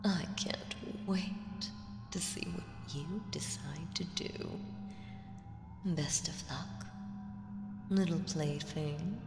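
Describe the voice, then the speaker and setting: Slow, echoing ancient evil seductress, acted by a woman. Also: low voice, heavily acted. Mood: amused, menacing. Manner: teasing, drawn-out, breathy murmurs slipping into whispers. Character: ancient evil female entity, seductive, menacing, playfully condescending. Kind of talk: ASMR audio roleplay. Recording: echoing reverb with music underneath. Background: music.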